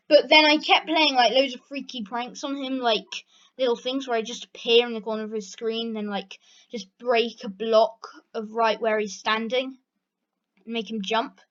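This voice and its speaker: British teen girl, high-pitched, rambling casual vlog talk, muffled lo-fi recording. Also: a bit bright. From Britain. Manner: chatty, rambling, lots of fillers. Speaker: channel host. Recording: dull lo-fi recording.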